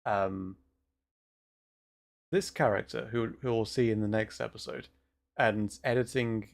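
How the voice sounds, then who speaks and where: Casual, halting male creator commentary. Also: lowish voice, fairly even intonation, gravelly. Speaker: audio roleplay creator and host. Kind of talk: casual listen-along commentary.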